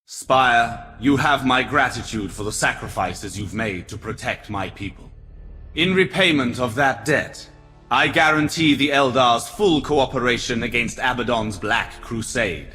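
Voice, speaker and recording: A man's voice: medium pitch, unhurried, sharp tone. A stately alien leader in a game cutscene. His speech is formal, proud and courteous. It rings with long reverb, with music behind. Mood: solemn, gracious. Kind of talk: video game cutscene acting.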